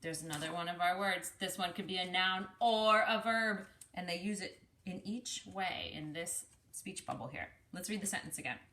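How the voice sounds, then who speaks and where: A woman reading a picture book aloud to children, pausing to explain words in an animated, teacherly way. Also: lowish voice, reverberant. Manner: animated, explanatory, addresses young listeners directly. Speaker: speech-language pathologist reading to children.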